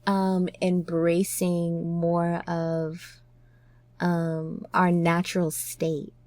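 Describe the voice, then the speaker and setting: A woman's voice: lowish voice, monotone, extremely slow, a little mellow, no room sound. A woman hosting a podcast, talking in a casual, reflective way. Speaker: podcast host.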